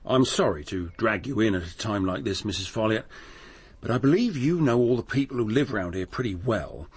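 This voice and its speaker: A police inspector in a radio play, husky voice, official and persistent. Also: male voice, on the low side, lively intonation. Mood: patient, tactful. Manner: official, measured questioning. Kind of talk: radio drama acting.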